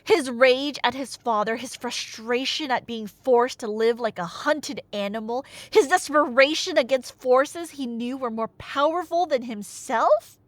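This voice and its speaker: A woman's voice: high-pitched, unhurried, few pauses, no room sound. A woman telling a myth in a casual, chatty but dramatic way, with wry asides, like an ASMR roleplay storyteller. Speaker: storyteller playing a chatty girlfriend.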